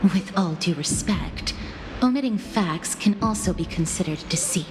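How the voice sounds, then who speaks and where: An actress playing a polite, guarded young woman in a video game, speaking in a measured, formal and firm way, with music behind her. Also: fairly low pitch, animated, background noise, lightly acted. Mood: calm, guarded. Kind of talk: scripted video game acting.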